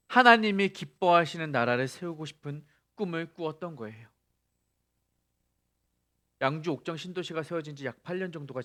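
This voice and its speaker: A man's voice: fairly high pitch, bright, forceful tone. A male pastor preaching a sermon, asking the congregation rhetorical questions and rising to emphatic peaks.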